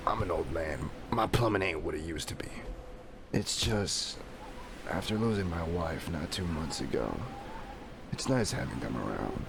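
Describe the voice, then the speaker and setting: A man acting a deep, gravelly old widower. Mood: weary, frank. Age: 60s. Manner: folksy, plain-spoken. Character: weary kindly old retired salesman and widower. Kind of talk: comic dub acting. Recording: clearly noisy background hiss and ambience.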